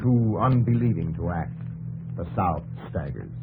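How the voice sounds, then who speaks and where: A man's voice: deep voice, lively intonation, measured pace, creaky, narrow, tinny audio. A man narrating a 1950s radio documentary in a grave, theatrical, declamatory style. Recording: old radio broadcast under loud music.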